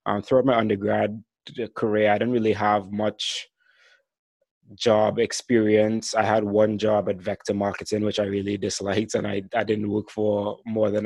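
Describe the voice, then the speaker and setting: A man's voice: on the low side, a little mellow, no echo. A man in his twenties from Trinidad and Tobago, hosting a solo podcast and telling his own career story in an earnest, reflective way. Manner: some lines read from a script. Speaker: podcast host.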